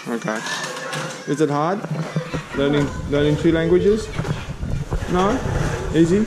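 A man's voice: a little high, slow, background noise. A middle-aged man chatting casually as a travel vlogger, filming himself on a handheld action camera outdoors, laughing easily. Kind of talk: casual travel vlog commentary. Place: outdoors on a tropical island. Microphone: action camera held at arm's length.

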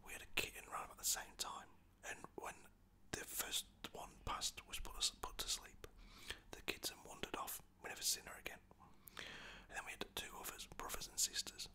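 A man from Lancaster, softly whispering rambling stories about pets as a dog walker. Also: normal speed. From Lancaster, England. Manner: soft whispering, rambling and chatty. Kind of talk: whispered ASMR storytelling.

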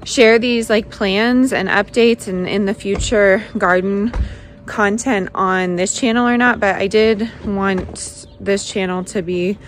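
A woman's voice: mid-range voice, steady tone, slow, a little hiss or hum. A young woman vlogging casually to a handheld phone, chatty and upbeat, with filler words. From United States. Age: young adult. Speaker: family vlogger. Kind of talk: casual vlog talk to camera. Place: indoors room, then snowy backyard outdoors. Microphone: phone held at arm's length.

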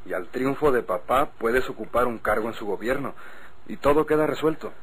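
A confident gentleman, a politician's son, in an old Mexican radio drama. He speaks chummily, like one giving advice, in a mid-pitched, husky voice with a Mexican accent. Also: expressive, brisk, rough recording. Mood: confiding, persuasive. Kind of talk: golden-age Mexican radio drama acting.

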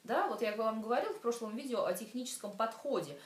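A woman's voice: a little high, animated. Chatty woman teaching from an echoey room. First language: Russian. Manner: chatty, many hesitations, slow careful Polish examples. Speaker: Polish language teacher and show host. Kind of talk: casual video lesson to camera. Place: small room, indoors. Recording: echoey room, voice from some distance.

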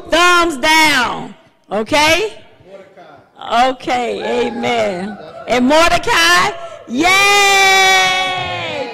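A middle-aged woman pastor leading a church service on a handheld mic, chatty and loose, halting with fillers and restarts. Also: very high-pitched, heavy background noise. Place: church sanctuary, indoors. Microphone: handheld microphone. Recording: long church hall reverb.

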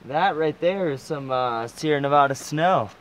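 Laid-back man answering off to the side. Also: normal speed. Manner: laid-back, low-key replies. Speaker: hiking companion. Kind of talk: casual chat. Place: outdoors on a forest trail.

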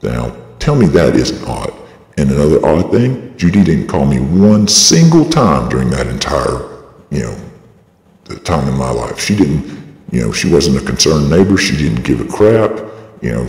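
A man's voice: very animated, unhurried, darkish tone. A man giving an aggrieved, rambling and insistent personal statement through a voice changer. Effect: voice-changing device lowering the voice. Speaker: video blogger. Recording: processed, echoey voice with long reverb.

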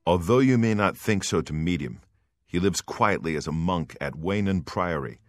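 Very deep-voiced earnest knight bodyguard. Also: male voice, expressive, normal speed, no room sound. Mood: earnest, explanatory. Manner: grave, earnest, soldierly. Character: dutiful, earnest male imperial bodyguard knight. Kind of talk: fantasy video game acting.

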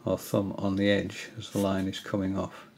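An older man at home giving a hesitant, casual tutorial, often pausing with fillers as he shows his reels. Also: low voice, completely flat delivery, a little mellow, slight background noise. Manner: hesitant, many fillers and restarts. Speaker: angling hobbyist giving a tutorial. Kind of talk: casual explanatory demonstration. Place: indoors, small room at home.

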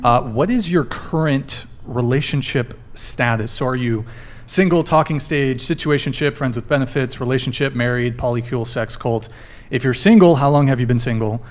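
Joking man hosting a live panel. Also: mid-range voice. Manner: joking, brisk interviewer, fixes tech mid-show. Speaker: dating panel show host. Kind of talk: casual live-stream hosting.

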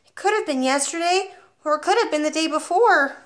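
Brief: plucky little schoolboy, put on by a woman reader, high and chirpy, unbothered. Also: fairly quick, natural voice. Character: brave, cheeky small schoolboy.

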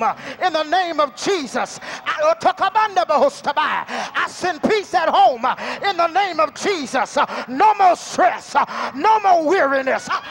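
High-voiced shouting preacher. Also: male voice. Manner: shouting, speaking in tongues, rhythmic chanting. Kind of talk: charismatic preaching over a praise break. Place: large convention hall with crowd. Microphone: handheld mic. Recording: music playing, far from camera mic.

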